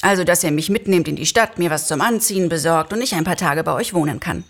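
A woman voicing a slight, excitable man freed from a spell in an audio-play reading, exclaiming and cheeky. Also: lowish voice, fast talker. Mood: cocky, demanding. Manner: overjoyed exclaiming, cheeky and self-assured.

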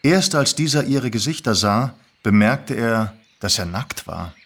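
Guy reading a story out loud, medium pitch, audiobook narrator. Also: lively intonation, unhurried.

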